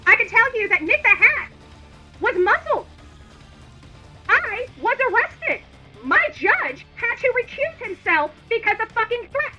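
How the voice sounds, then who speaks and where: A woman's voice: very high-pitched, very animated, a little slow, music in the background. A woman livestream host delivering an indignant, opinionated rant in a combative, emphatic and repetitive manner.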